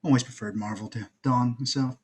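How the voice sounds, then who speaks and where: A male actor plays a getaway driver with a wry, literary bent. His husky voice sits at a middle pitch. It is table-read drama. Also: fairly even intonation, brisk, natural voice. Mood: wry, detached. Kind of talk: screenplay table read acting.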